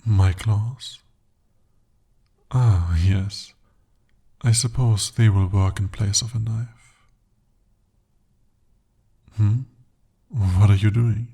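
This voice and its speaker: A man voicing a devoted, possessive male demon in an ASMR romance roleplay, speaking softly and formally. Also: fairly low pitch, fairly even intonation, drawn-out words, vocal fry, pauses often, husky, full character voice. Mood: tender. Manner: soft, intimate, formal speech. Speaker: voice actor.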